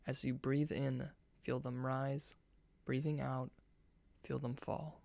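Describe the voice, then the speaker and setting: A soft, hushed man guiding a meditation in ASMR style, speaking gently in measured short phrases. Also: medium pitch, flat delivery, creaky.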